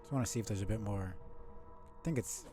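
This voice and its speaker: A man's voice: on the low side, fast talker, over music. A man giving casual live commentary on a video game as he plays, thinking aloud and swearing offhandedly.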